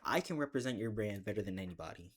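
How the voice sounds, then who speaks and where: A man with a deep voice chatting casually in a gaming vlog, rambling and joking with bursts of excitement. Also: quick pace. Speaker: gaming video creator.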